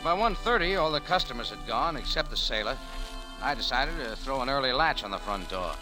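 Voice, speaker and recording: A man's voice: medium pitch, animated, brisk, music in the background, close to an everyday voice, poor audio. Man acting a hard-boiled, wry American cafe owner. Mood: wry, brooding. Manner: hard-boiled, clipped slangy wisecracking. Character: tough, world-weary male cafe owner, wry and guarded. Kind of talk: 1940s radio acting with first-person narration. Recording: old 1940s radio recording.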